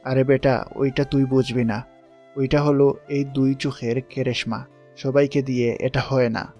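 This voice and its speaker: Mid-pitched young charmer, played by a man, a self-assured schoolboy in an audio drama, faint music behind. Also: level tone, measured pace, darkish tone, natural voice. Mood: casual, boastful.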